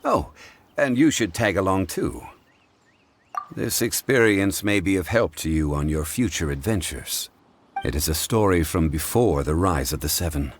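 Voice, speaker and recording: An actor playing a calm, formal ancient scholar-god who lectures in a measured way, in a game cutscene. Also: male voice, deep voice, slight background noise. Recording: game audio with music.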